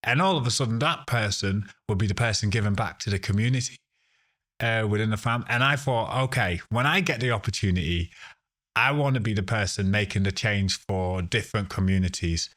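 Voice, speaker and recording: Male interviewee, fairly low voice, conversational, halting delivery. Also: fairly bright tone. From Ghana, later the UK. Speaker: podcast guest, sustainability professional.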